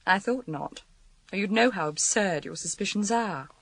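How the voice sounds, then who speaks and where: An actress playing a sharp, witty journalist in a radio crime drama, articulate and arch in manner. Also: female voice, mid-range voice, lively intonation, moderate pace, dry recording. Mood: indignant, arch. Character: sharp, witty woman journalist, detective's friend.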